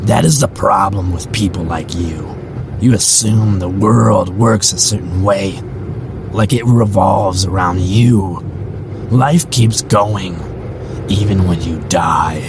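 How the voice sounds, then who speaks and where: Man playing an ominous faceless stranger, low-voiced. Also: slow, background noise. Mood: grim, preachy. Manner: preachy, philosophical monologuing. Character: faceless bandaged mystery man, bitter and ominous.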